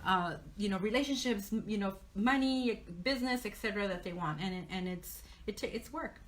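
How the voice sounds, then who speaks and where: A woman's voice: mid-range voice, brisk, a little hiss or hum. A woman hosting a casual live online interview show, talking in an upbeat, encouraging way. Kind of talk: casual live interview, reading out a guest bio.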